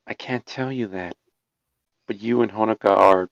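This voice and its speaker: A man voicing a calm, knowing, teasing young woman in a fan fiction reading. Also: on the low side, moderate pace, no echo, natural voice. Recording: dull, lo-fi microphone sound.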